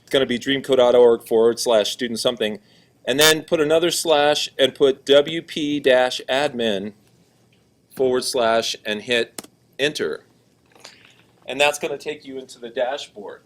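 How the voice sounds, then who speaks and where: A man teaching a class, talking casually in a loud, projecting voice and often checking in with students while he walks around the classroom. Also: mid-range voice, unhurried. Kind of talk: classroom lesson, walking around.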